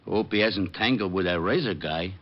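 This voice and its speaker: A man playing a dim-witted, good-natured police sidekick in an old radio crime drama, slow-witted and goofy. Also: low voice. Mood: dopey. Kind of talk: old-time radio comic-relief acting. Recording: muffled old radio recording.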